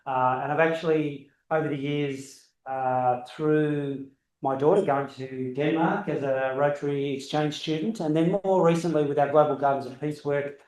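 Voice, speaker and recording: A middle-aged man with a booming voice speaks as a guest speaker at a club meeting. His talk is loose and rambling, full of restarts. He sits a little high for a man, recorded from a distance in an echoey room. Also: fairly even intonation, moderate pace, a little mellow. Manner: loose, rambling, self-correcting. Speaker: guest speaker, landscape architect. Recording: roomy echo, distant camera.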